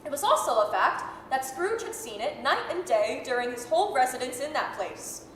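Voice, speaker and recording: A woman narrating a radio drama as a measured, articulate, dramatic storyteller. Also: sits high, animated, a bit bright. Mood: storytelling. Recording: echoey hall, faint noise.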